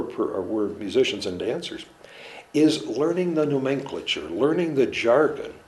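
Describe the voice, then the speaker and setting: A man who treats musicians and dancers, giving a measured, earnest, halting explanation in an interview. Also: mid-range voice, a little mellow. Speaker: clinician in performing arts medicine.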